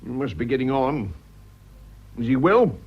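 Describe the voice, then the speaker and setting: Radio play: crusty old British aristocrat, male, rough husky voice, grumbling and short-tempered. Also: medium pitch, sing-song, moderate pace, muffled recording. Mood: irritable, grumbling. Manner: curt, grumbling, irascible. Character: grumpy, blunt old English lord. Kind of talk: radio drama acting.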